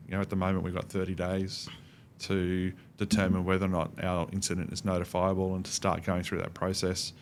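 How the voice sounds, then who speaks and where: Deep, even-toned Australian man, rumbly background. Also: a little hiss or hum. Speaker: podcast guest, cybersecurity expert. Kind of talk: conversational interview answers.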